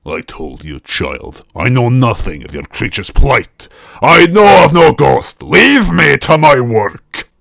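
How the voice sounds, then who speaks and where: A man's voice: mid-range voice, sing-song, vocal fry, fairly bright tone, dry recording, pitched above the performer's own voice, heavily acted. A man voicing an enormous metal smith god in a story reading, stony, imperious and menacing. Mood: enraged. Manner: stony, slow-burning, imperious.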